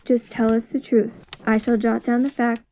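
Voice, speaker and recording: A woman's voice: a little high, level tone, normal speed, mellow, lightly acted. A woman playing a confident, precise, analytical detective in an amateur audio drama, explaining things in an assured way. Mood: measured, businesslike. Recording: dull lo-fi audio with music.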